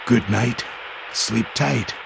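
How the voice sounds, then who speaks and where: A man's voice: mid-range voice, drawn-out words, vocal fry, background noise. A man hosting a horror radio show as storyteller, delivering a spooky scripted sign-off. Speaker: storyteller host of horror anthology.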